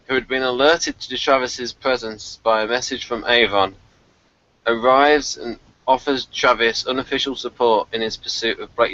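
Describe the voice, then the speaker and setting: A man hosting a review show in casual chat, excitable and prone to chuckling as he talks. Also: mid-range voice, no room sound. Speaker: podcast host of a TV review show. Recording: dull, lo-fi, dry recording.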